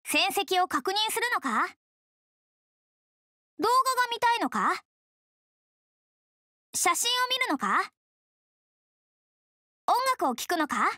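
A woman voicing a brash, tomboyish young game character, hearty but easily flustered, who talks in rough, blunt slang. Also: very high-pitched, big pitch swings, slow, long pauses, pushed and bright, dry recording, clean studio sound, exaggerated voice. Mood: casual. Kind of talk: video game character voice lines.

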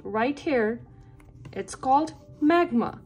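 A woman's voice: high-pitched, lively intonation, slow, a little mellow, breathy edge, soft background music. A woman reading a children's science book aloud with careful phrasing meant for young listeners. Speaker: read-aloud reader for children.

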